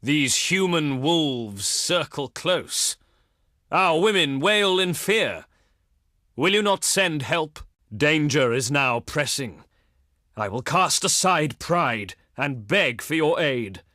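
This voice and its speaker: Ancient Greek diplomat for a strategy game: higher-pitched, forceful, ceremonious, pious and honey-tongued. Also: male voice, expressive, no echo, studio quality, heavily acted. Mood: grave, pleading. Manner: declamatory, ceremonious, deliberate phrasing. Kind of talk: theatrical scripted game dialogue.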